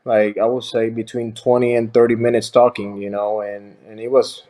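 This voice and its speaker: A young man from Rico, answering interview questions as a baseball pitcher in a halting, friendly way. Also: level tone, dark tone. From Puerto Rico. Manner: halting, many fillers and restarts, friendly.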